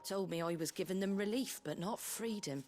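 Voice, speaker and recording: A woman playing a brisk, plain-spoken British innkeeper in a video game, earthy, colloquial and wry, tough but kindly. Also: mid-range voice, a little mellow, lightly acted. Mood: reflective, warm. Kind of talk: video game character dialogue. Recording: game music behind the voice.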